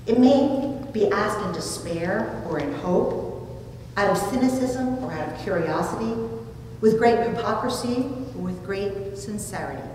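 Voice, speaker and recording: A woman's voice: mid-range voice, a little mellow. Woman preaching in a very echoey room. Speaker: pastor. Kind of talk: sermon. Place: small church room, standing at a lectern. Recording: very echoey hall, distant, background noise.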